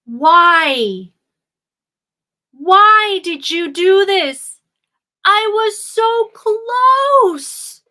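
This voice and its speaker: Lady storyteller doing a cursed prince guy, pleading, high and bright, drags his words out. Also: animated, slow, heavily acted. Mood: anguished.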